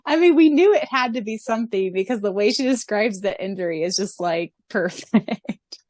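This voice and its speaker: A giggly woman co-hosting a chatty podcast, reading questions and answers aloud at an ordinary middle pitch. Her voice sits close and dry on the mic, with no room sound around it. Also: expressive, normal speed, darkish tone, no echo. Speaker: podcast co-host. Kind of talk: casual chat reading listener questions.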